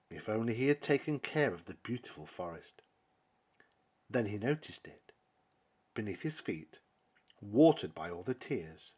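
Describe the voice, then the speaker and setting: A man reading a children's picture book aloud as a dramatic narration, with theatrical delivery and emphatic pauses. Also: lowish voice. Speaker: storybook reader.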